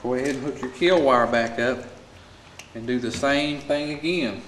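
A man's voice: medium pitch, normal speed. An American man giving a plain, practical, informal step-by-step repair tutorial as he works in a shop. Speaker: small engine repair instructor. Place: indoor repair shop at a workbench. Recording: echoey room with clear background noise.

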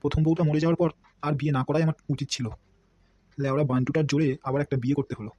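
A man's voice: fairly high pitch, steady tone, very fast, dry recording, natural voice. A man voicing a foul-mouthed, hot-tempered middle-aged father in a comic cartoon, grumbling and cursing. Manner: crude, grumbling, shouts threats. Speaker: voice actor. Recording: noisy homemade recording.

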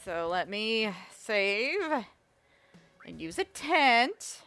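A woman giving casual let's-play commentary, her voice raspy and strained, talking to herself and the viewers with quick asides. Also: fairly high pitch, sing-song, fairly bright tone. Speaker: gamer playing a game on video. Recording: faint game music behind.

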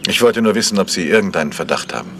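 An actor playing a polite, inquisitive amateur detective in a German radio mystery. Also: male voice, low voice, expressive, racing, a bit bright. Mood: probing. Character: probing, courteous amateur detective. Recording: faintly noisy old radio recording.

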